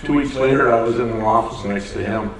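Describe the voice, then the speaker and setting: A man's voice: medium pitch, quick pace, a crowd in the background. An older man from Door County, Wisconsin, telling plainspoken stories as an interview guest in a community hall. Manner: plainspoken, chuckles at his own stories. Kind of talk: unhurried storytelling. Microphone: far from the mic. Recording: echoey hall from far off, noisy.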